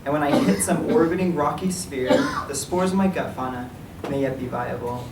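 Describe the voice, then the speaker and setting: A man reading his own writing aloud at a library event, in a measured, even delivery. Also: fairly high pitch. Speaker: writer reading his work. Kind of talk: literary reading. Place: library meeting room. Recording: echoey room, distant mic, some noise.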